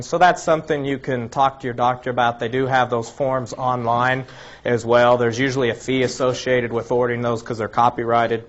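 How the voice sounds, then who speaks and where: A man giving an informal health lecture to a live audience. His voice is slightly raspy from a cold, and he explains things step by step, listing items one by one and often restarting his sentences. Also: mid-range voice, dull audio. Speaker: health presenter.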